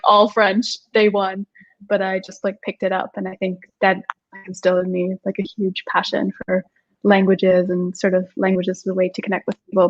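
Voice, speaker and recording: A young woman from Austria speaks as a guest on a video call, chatting casually with plenty of "like" and little laughs. Her pitch stays fairly flat and even, and her sound comes through dull and lo-fi. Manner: chatty, many "like" fillers, laughs often. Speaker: startup co-founder, guest. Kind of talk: casual interview chat.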